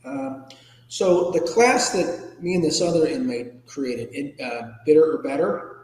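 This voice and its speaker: A man's voice: on the high side, measured pace, darkish tone. Echoey American man speaking candidly. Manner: candid, informal, occasionally salty. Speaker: podcast guest, ethics trainer and public speaker. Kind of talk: reflective personal interview answers. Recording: echoey room with long reverb.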